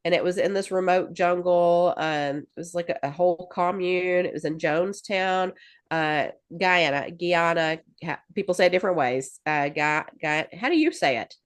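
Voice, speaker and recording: A woman's voice: on the low side, moderate pace. A woman co-hosting a podcast in casual chat, rambling with lots of fillers and restarts and laughing easily. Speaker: podcast co-host.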